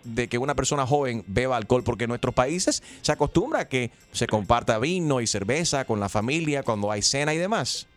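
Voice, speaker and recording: A middle-aged man hosting a lively radio morning show, laughing often and dropping English phrases into his talk. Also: medium pitch, fairly quick. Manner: excitable, laughs a lot, mixes English phrases.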